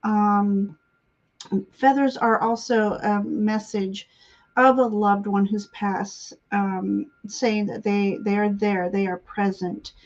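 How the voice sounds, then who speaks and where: A woman giving intuitive readings on a live stream, congested and halting, often clearing her throat. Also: medium pitch, little pitch movement, darkish tone. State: congested sinuses, voice slightly giving out. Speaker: guest psychic tarot reader. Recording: dull, lo-fi stream audio.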